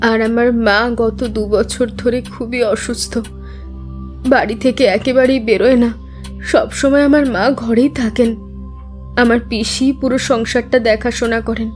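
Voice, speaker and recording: Audio drama role: grieving young widow female actor, slightly high voice, terse and choked-up under questioning. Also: fairly even intonation, a little slow, soft background music, lightly acted. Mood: subdued. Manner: curt, guarded, choked-up answers. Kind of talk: scripted audio drama acting.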